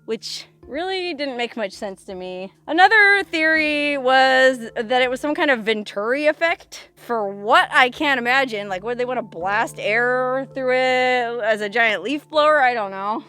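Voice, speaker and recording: A woman with a fairly high voice hosts a casual vlog outdoors, with some music behind her. She talks in a chatty, animated, slangy way, straight to the camera. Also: unhurried, hardly any pauses, smooth. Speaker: vlog host. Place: outdoors on a desert hilltop.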